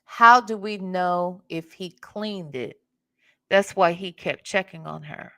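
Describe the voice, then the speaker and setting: A woman's voice: lowish voice, slow pace, a bit bright. An American woman hosting a casual live reaction show, blunt, sassy and profane, with emphatic rhetorical questions. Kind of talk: casual live commentary with chat.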